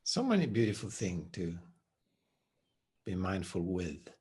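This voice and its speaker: A man with a deep, slightly breathy voice shares reflections on meditation. He talks slowly and gently, and his sentences often drift down to a near murmur at the end. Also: sing-song. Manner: soft-spoken, unhurried, trailing off at phrase ends. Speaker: meditation teacher. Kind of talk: reflective spiritual talk.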